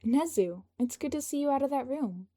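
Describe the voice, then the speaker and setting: A woman's voice: sits high, lively intonation, moderate pace, dark tone, pitched above the performer's own voice. A woman voicing a self-assured, teasing young male leader in an audio story. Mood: confident, friendly.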